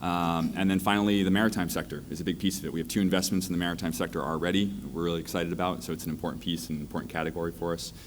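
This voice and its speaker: A man introducing himself on a conference panel as a venture fund partner, upbeat and pitch-like. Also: steady tone, rapid-fire, few pauses, a little hiss or hum.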